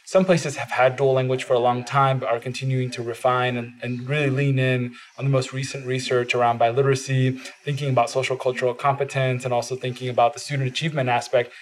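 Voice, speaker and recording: A man's voice: mid-range voice, flat delivery, quick pace, few pauses. A man whose first language is Spanish, talking earnestly as a podcast guest in a studio, with frequent "uh" fillers. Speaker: podcast guest, bilingual education advocate. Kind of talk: casual interview talk.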